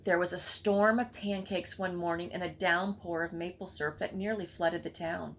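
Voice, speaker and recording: A woman's voice: on the low side, steady tone, moderate pace. A woman reading a children's storybook aloud expressively, with chatty asides to listeners. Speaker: read-aloud book club reader.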